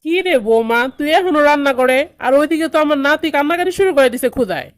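Woman playing a fast, bossy Bangladeshi grandmother. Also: high voice, animated, no room sound, clean studio sound. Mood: bossy, scolding. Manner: commanding, scolding, insistent. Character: bossy, fussing grandmother, doting mother-in-law. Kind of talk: comedy cartoon dialogue in colloquial dialect.

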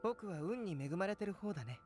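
Young scholar for fantasy game. High male voice, composed, polite, analytical, faint music behind. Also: expressive, a little fast, darkish tone. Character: young male alchemist, calm, curious, detached scholar. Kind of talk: anime video game acting.

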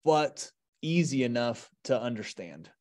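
A man's voice: medium pitch, very animated, a little slow, a little mellow. A middle-aged man, a teacher, explaining his methods with examples on a video call. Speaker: teacher, presenter. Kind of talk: explanatory webinar talk with examples.

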